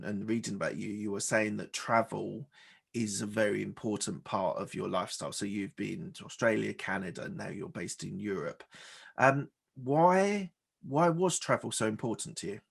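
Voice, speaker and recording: A man's voice: lowish voice, gravelly, slightly rough. A man hosting a business podcast, asking questions with many hesitations and repeated words. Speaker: podcast host. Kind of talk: interview podcast.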